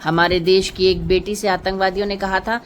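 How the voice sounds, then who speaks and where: A woman's voice: medium pitch, level tone, moderate pace. A woman vlogger delivering an emotional political monologue to viewers, impassioned and rhetorical, repeating phrases for emphasis.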